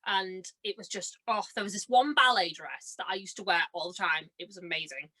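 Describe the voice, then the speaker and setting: A woman in her twenties chats casually as a podcast guest. Her voice is bright and forward, at an average pitch for a woman. She tells anecdotes in a bubbly, enthusiastic way and acts out bits of dialogue as she goes. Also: brisk. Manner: bubbly, giggly, enthusiastic storytelling with acted-out quotes. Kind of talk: casual chat, animated anecdotes.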